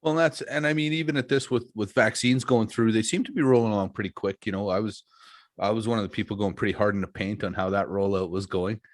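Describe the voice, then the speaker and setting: Forties male host, lower voice, dry close sound, loose rambling humor. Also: fairly even intonation, fast, dry recording. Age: 40s. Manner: jokey, self-deprecating, rambling. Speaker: podcast host, wrestler. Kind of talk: casual chat.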